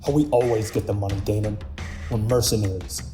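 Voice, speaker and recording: A man playing a gruff, irritable spaceship captain in an audio drama, with terse delivery. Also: fairly low pitch, fairly even intonation, a little fast, over music, natural voice. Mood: curt, weary. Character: gruff, short-tempered male spaceship captain of mercenaries.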